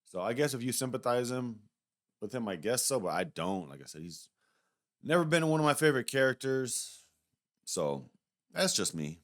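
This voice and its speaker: A man's voice: mid-range voice, big pitch swings, moderate pace. A man giving a casual, unscripted review in a rambling, conversational way, often hedging as he goes. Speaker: YouTube reviewer.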